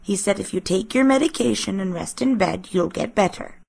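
A woman's voice: mid-range voice, brisk, creaky, a little mellow, a little hiss or hum. A woman playing a stern elderly housekeeper, dry and matter-of-fact, in a fan manga dub.

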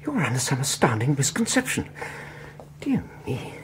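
A courteous Edwardian scholar with an English accent in a radio horror drama. His formal, earnest speech sweeps very widely in pitch, with faint background noise. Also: wildly swooping pitch. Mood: shocked, indignant. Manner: formal, measured, indignant when pressed. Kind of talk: 1900s-set radio horror drama acting.

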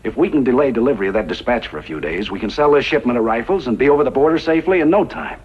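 Old West gang leader, calculating and bossy, very animated husky delivery, old radio drama sound. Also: male voice, medium pitch, rapid-fire. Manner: commanding, calculating. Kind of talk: 1950s radio western acting. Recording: muffled vintage radio recording.

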